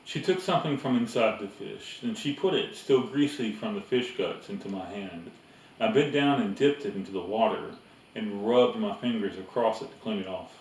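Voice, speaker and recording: A man's voice: on the low side, moderate pace. A man reading a storybook aloud as narrator. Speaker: reader. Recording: echoey room, distant mic, faint noise.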